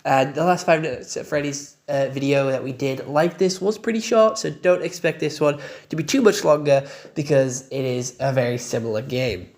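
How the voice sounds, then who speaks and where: A young man with a croaky voice, giving a casual spoken game review with loose fillers and casual swearing. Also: medium pitch, moderate pace, a little mellow.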